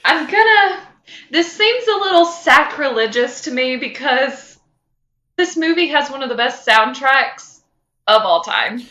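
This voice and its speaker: Female podcast co-host, sharp bright voice, slightly high, mock-exasperated comic banter, roomy echo. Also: animated, unhurried. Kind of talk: casual comedic banter.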